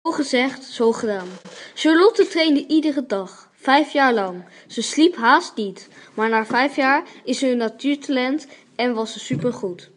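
A woman's voice: sits high, a little slow. A woman narrating a storybook-style tale in an amateur radio play. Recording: clearly noisy homemade recording with clicks.

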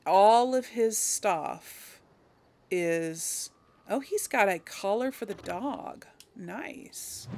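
A woman's voice: mid-range voice, vocal fry, a little hiss or hum. A woman giving casual video game commentary, thinking aloud as she plays. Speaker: video game let's player.

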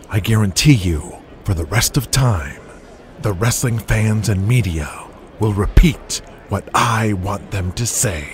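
An actor playing a ruthless, controlling wrestling promoter in an audio drama, speaking in a cold, lecturing manner. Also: male voice, fairly low pitch, slight background noise.

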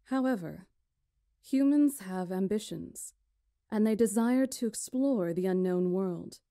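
Stately, mellow-voiced magician woman played by an actress. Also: mid-range voice, dry recording, clean studio sound. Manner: measured, formal, solemn delivery. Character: stately female magician elder recounting ancient history to lords. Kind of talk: visual novel character acting, storytelling.

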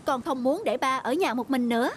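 High-pitched, earnest young man played by a woman. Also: fairly quick, slight background noise, lightly acted. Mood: earnest, hopeful. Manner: respectful, earnest. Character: earnest young man, devoted fisherman's son. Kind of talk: cartoon folk-tale acting.

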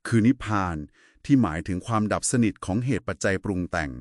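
A man's voice: sits low, expressive, fairly quick, a little mellow, dry recording, studio quality. A man giving a polite, didactic explanation in a conversational discussion of Buddhist scripture. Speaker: explainer in a two-person discussion.

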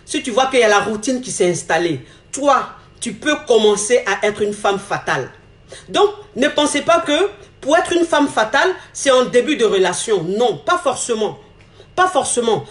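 A woman, a relationship coach speaking to her phone camera with emphatic repetition and a slightly hoarse, cold-touched voice. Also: on the high side. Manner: emphatic, repeats phrases, gestures a lot while talking. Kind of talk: animated advice monologue to camera. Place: indoor room. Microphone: phone camera at arm's length. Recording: echoey room on phone.

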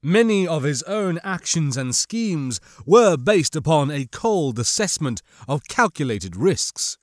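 A man reads a science fiction audiobook with measured, literary delivery. His voice sits a little high and moves with plenty of melody. The sound is close and dry. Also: sing-song, no echo. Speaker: audiobook narrator. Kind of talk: scripted dramatic narration.

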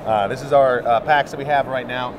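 A man in his thirties giving a casual, enthusiastic product pitch in informal, slangy language as a booth representative. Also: fairly even intonation, fairly quick, crowd noise. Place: indoor trade show booth. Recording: clear busy exhibition hall noise. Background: trade show floor ambience.

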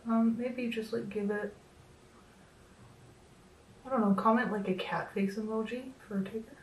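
A woman's voice: a little high, steady tone, normal speed. Thirties woman rambling thru a makeup tutorial, kinda losing her voice, echoey room, faint hum behind. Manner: chatty, self-deprecating, filler-heavy. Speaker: makeup vlogger. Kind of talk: casual makeup tutorial chat. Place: small home room, indoors. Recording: roomy echo, a bit distant from the mic.

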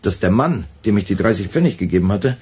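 Dry, weary intellectual, radio drama, fairly low and markedly breathy-rough. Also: male voice, animated, fast talker, darkish tone. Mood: uneasy recounting. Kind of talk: German radio play acting.

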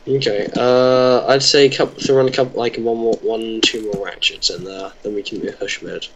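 A young man chatting casually while playing a game online, joking at his own expense and breaking into excited exclamations. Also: medium pitch, normal speed, muffled recording, a little hiss or hum. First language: Welsh. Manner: jokey, self-mocking, bursts of excited exclamations. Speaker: gamer. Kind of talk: casual gameplay chat.

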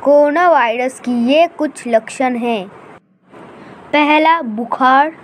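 A woman plays a formal newsreader in an animated bulletin. She announces deliberately in a high, slow voice over faint noise. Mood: informative. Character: formal news presenter in a cartoon bulletin. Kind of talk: scripted announcement.

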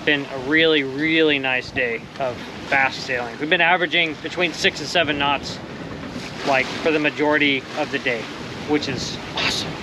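Easygoing man sailing in wind and spray. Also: on the high side. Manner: relaxed, upbeat, loose with fillers and soft laughs. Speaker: solo sailor vlogger. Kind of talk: casual vlog narration. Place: outdoors on the deck of a small sailboat at sea, wind blowing. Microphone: action camera close on the boat. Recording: windy outdoor camera audio on open water. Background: wind and water rushing past the hull.

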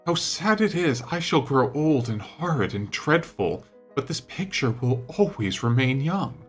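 A man voicing a petulant, melodramatic young gentleman in an audiobook, vain and wounded, speaking in an impassioned, plaintive way. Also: mid-range voice, very animated, unhurried, soft background music, voice pushed up. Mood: mournful.

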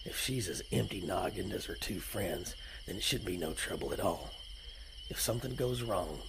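A man's voice: sits low, animated, normal speed, vocal fry, noisy background. An actor playing a sly, menacing outlaw henchman with cocky, folksy talk in a western audio drama. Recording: outdoor night ambience with crickets. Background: crickets and insects.

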